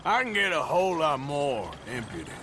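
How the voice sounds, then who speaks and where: An actor playing a middle-aged American Old West outlaw in a video game, folksy, dry and understated. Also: male voice, a little high, big pitch swings, moderate pace, pushed and bright, a little hiss or hum. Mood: dry menace. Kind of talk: video game cutscene acting.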